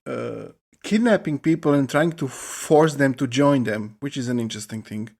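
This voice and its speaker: A man's voice: medium pitch, normal speed, vocal fry, a little mellow. Male podcast co-host, middle register, informal explanatory delivery. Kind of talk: casual explanatory discussion.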